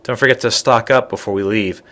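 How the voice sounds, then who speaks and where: A man's voice: fairly low pitch, steady tone, fairly quick, sharp tone. A man voicing a wry, matter-of-fact space adventurer in a video game. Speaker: game voice actor.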